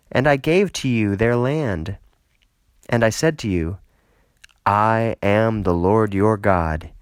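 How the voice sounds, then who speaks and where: A man reading scripture aloud as an audiobook narrator, measured and solemn, lifting into emphasis for quoted speech. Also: mid-range voice, dry recording. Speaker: audiobook reader.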